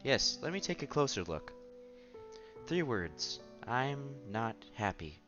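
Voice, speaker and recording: A man's voice: medium pitch, sing-song, slow pace. A man streaming a visual novel, reading the story text aloud with casual asides and the odd stumble. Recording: dull lo-fi sound with game music behind. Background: visual novel background music.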